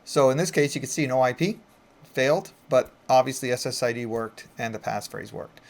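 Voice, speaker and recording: A man's voice: mid-range voice, a little fast, vocal fry. A man presenting an informal, conversational tech tutorial, explaining things step by step as he demonstrates. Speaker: IT instructor and presenter. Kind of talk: casual screen-recorded tutorial narration.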